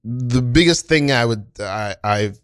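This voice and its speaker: A man hosting a podcast in casual conversation, speaking in a halting way and often correcting himself as he goes. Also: medium pitch, fairly bright tone, clear, resonant tone, dry recording, studio quality. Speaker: podcast host.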